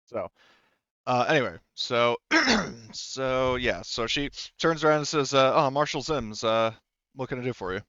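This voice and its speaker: A man narrating a tabletop roleplaying game as game master, in a halting, informal way. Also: mid-range voice, bright, forceful tone, clean tone, no echo, highs cut off.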